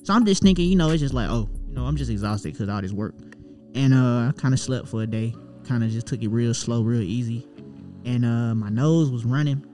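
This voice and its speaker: A man's voice: mid-range voice, brisk, mellow, faint music behind. Laid-back, profane young man telling a story. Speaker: rapper guest. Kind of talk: casual chat.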